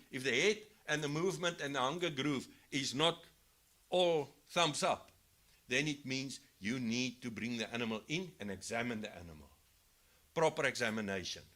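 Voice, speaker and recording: A man with a South African accent giving a live expo lesson on livestock health to farmers, explaining step by step and often restarting his phrases. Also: mid-range voice. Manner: says he has a loud voice. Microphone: handheld mic, later speaks without it.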